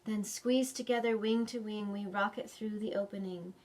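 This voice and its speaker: A woman's voice: mid-range voice, steady tone, moderate pace, darkish tone, slight background noise, rough recording. A woman hosting a children's storytime, reading picture books expressively and chatting to young children with questions. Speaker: children's librarian storytime host. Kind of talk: animated picture-book reading and chat for preschoolers.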